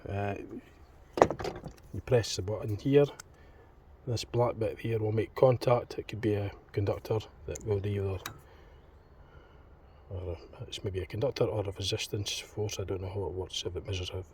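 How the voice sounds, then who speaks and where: Distant, noisy recording of a halting man. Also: lowish voice, fairly even intonation, a little mellow. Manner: hesitant, halting, tentative. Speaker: hobbyist demonstrator in a homemade teardown video. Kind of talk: unscripted hands-on commentary, thinking aloud. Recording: distant mic, clearly noisy homemade recording.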